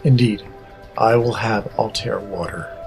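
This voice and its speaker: A man's voice: fairly low pitch, vocal fry, over music, low-quality recording. Man playing stoic, formal Vulcan captain. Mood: courteous. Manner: measured, formal, precise. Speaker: voice actor. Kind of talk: audio drama, formal log narration.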